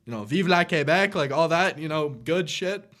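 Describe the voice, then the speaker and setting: A young man from the United States talks in a fairly high, very lively voice on a casual podcast. He jokes around, laughs a lot, and keeps the banter moving. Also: normal speed. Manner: joking, laughs often, expressive. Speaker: podcast co-host.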